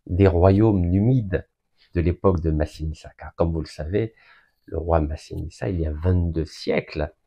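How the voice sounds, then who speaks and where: A man from Algeria giving an emphatic, deliberate public speech that builds long lists of points. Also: deep voice, darkish tone, slightly rough. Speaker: political speaker.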